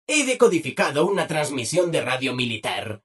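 A man's voice: a little high, big pitch swings, normal speed, fairly bright tone, husky, full character voice. A man with a Spain Spanish accent voicing a chirpy, eager, obliging robot assistant in a video game. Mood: upbeat, informative. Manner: exaggeratedly chirpy, eager, polite. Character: relentlessly cheerful, obliging male robot assistant. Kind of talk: video game character dialogue.

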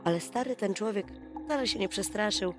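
A woman storyteller reading a bedtime fairy tale in an expressive, dramatic, folksy way. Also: medium pitch, a little fast. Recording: music behind the voice. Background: music.

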